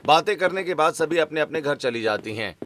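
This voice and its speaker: A man's voice: a little high, lively intonation, a little fast, fairly bright tone, a little hiss or hum. A man narrating a scripted cartoon story as its storyteller. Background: background music.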